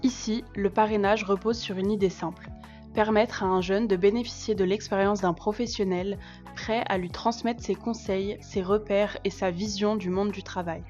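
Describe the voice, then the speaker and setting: A woman's voice: medium pitch, steady tone, normal speed, darkish tone. A woman reading a scripted promotional narration. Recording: slightly dull sound, music behind. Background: music.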